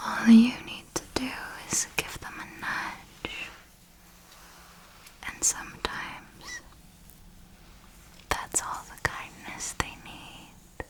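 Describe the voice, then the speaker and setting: A woman's voice: fairly high pitch, gravelly, fairly bright tone, heavily acted, poor audio. A woman voicing an ancient, serenely ruthless and darkly tender female vampire mentor in an ASMR roleplay, speaking formally and coaxingly in a whisper. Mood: cold, remorseless.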